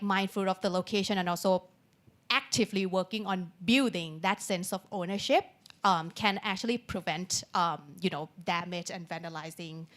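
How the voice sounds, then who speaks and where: A woman panel speaker giving an unscripted answer in English as a second language, pausing often to search for words. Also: medium pitch. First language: not English.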